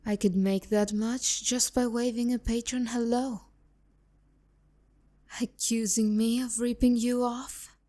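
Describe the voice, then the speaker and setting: Casting: actress as a sharp-tongued female demon courtesan for ASMR roleplay; mellow tone, unhurried delivery, haughty and seductively teasing. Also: a little high, level tone. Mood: taunting, smug. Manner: condescending, teasing, biting insults. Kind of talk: romantic ASMR roleplay, addressing the listener.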